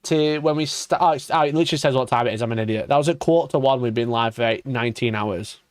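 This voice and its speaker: A man's voice: mid-range voice, fast talker, dry recording. A man in his thirties chatting casually on a live stream, reacting to old clips, swearing and laughing at himself. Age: 30s. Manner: swearing, laughing, self-mocking.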